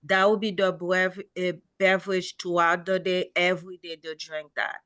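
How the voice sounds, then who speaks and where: A woman's voice: fairly low pitch, little pitch movement, normal speed, sharp tone, no echo, studio quality. A middle-aged woman with a Haitian accent, explaining things as a podiatrist on a medical panel in a plain, anecdotal way. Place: online webinar call.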